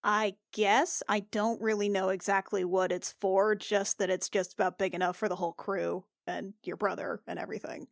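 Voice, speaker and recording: A woman playing a tabletop roleplaying game, improvising dialogue in a casual, halting, informal way. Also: mid-range voice, flat delivery, vocal fry, dry recording, clean studio sound.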